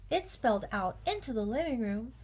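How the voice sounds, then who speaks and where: A woman reading a children's storybook aloud with measured, expressive narration. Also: a little high, husky. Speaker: storybook reader.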